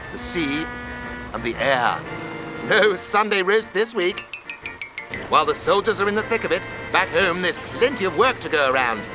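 A man's voice: fairly high pitch, rough recording. A plummy wartime newsreel announcer for a game parody. He is clipped, jolly and exhorting. Music plays behind his narration.

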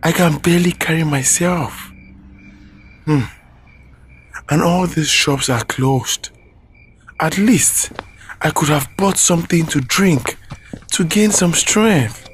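A man's voice: fairly high pitch, takes it slowly, long pauses, a little hiss or hum. An actor with a Nigerian accent playing a weak, timid young male student, hesitant and plaintive, in a radio drama. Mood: weary.

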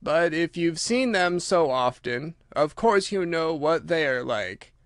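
A man voicing a mournful, drowsy, self-important turtle creature in a storybook, with slow, drawn-out, solemn speech. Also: fairly high pitch, very animated, no room sound, studio quality, pitched above the performer's own voice, heavily acted. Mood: ponderous, drowsy. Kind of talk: storybook character voice.

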